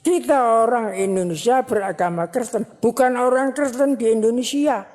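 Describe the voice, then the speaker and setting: A woman religious teacher giving a sermon at a ceremony, speaking slowly and repeating phrases for emphasis. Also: fairly high pitch, darkish tone. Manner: slow, repetitive, emphatic preaching. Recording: long hall reverb.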